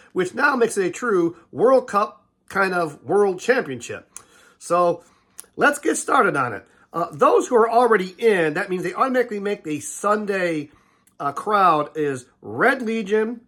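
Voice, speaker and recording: Older man with a nasal head cold. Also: a little high. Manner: relaxed, rambling with fillers and restarts. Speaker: host. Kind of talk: casual sports preview talk.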